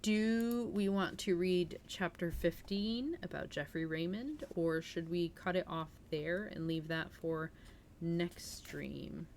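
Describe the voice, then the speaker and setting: A woman's voice: fairly low pitch, takes it slowly, a little mellow, slight background noise. A woman hosting a livestream, reading aloud in a storytelling style and chatting informally with her viewers. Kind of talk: storytelling read-aloud and casual stream chat.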